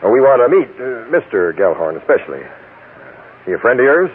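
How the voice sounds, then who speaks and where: An actor playing a brusque, methodical police captain questioning people in an old-time radio crime drama. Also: male voice, mid-range voice, sing-song, brisk, lightly acted. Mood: businesslike, probing. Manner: probing, hesitant uhs, curt. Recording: noisy, narrow-band old radio recording.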